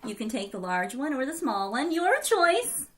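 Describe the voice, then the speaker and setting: Tiny sweet sparrow girl, high and eager, voiced by a woman for a storybook. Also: sing-song, normal speed, fairly bright tone. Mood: insisting. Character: sweet little female sparrow, gracious host.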